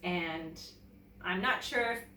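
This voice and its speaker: A woman from Canada reading to children as a teacher, telling a story expressively and deliberately and putting questions to her listeners. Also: on the low side, pushed and bright. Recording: roomy, distant mic, faint noise.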